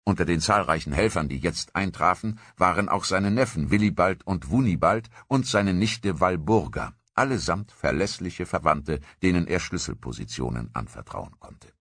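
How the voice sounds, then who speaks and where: A man narrating a history documentary from a script in a measured, grave storytelling manner. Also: low-pitched, expressive, fairly bright tone, dry recording.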